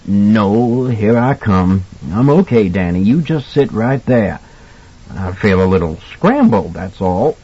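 A man voicing a jovial, kindly older mentor with a husky voice and a folksy, colloquial manner in an audiobook. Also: lowish voice, expressive, slow pace, dark tone, lo-fi sound, exaggerated voice. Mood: shaken, reassuring. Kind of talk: audiobook character acting.

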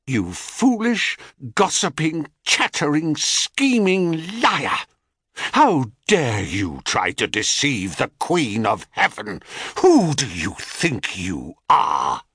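A man's voice: fairly high pitch, sing-song, extremely slow, dry recording, clean studio sound, pitched above the performer's own voice, exaggerated voice. A man voicing a haughty, wrathful queen goddess who speaks with icy command. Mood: icy imperious. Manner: icy, imperious.

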